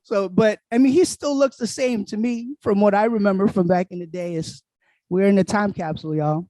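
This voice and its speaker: A man, his voice sitting high but sounding mellow and soft-edged. He talks in a relaxed, streetwise way and laughs easily, like a guest on a podcast. The sound is dry and close, with no room around it. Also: moderate pace, dry recording, poor audio. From Queens, New York City. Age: 40s. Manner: laid-back, streetwise, laughs often. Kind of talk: casual podcast chat.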